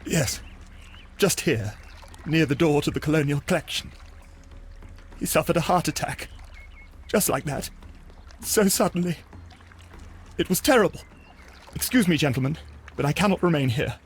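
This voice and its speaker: A man performs a grieving, earnest gardens employee for a video game. He speaks hesitantly and politely in a slightly high voice, over faint ambient noise. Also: normal speed, long pauses, natural voice. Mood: grieving, hurt. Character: grieving, earnest son working at botanic gardens.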